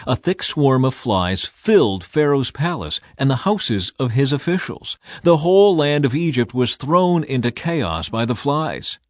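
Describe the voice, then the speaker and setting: A man's voice: mid-range voice, very animated, a little slow, hardly any pauses, dry recording, clean studio sound. A man reading scripture aloud as a scripted audiobook narration.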